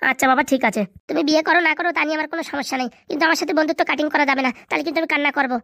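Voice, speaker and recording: A man voicing an excitable, cheeky young male cartoon buddy, with slangy bantering delivery and a pitched-up effect. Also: racing, vocal fry, no room sound, studio quality, exaggerated voice. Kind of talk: comedy cartoon dubbing in colloquial rural dialect.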